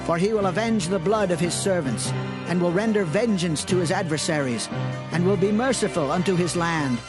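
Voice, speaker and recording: A man's voice: sits high, moderate pace, natural voice. A man voicing a prophet delivering a fervent poetic song in a dramatized scripture recording. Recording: music clearly underneath.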